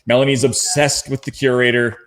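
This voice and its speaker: A man's voice: medium pitch, little pitch movement, brisk, a bit bright. Man explaining in casual fan chat. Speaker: podcast panelist.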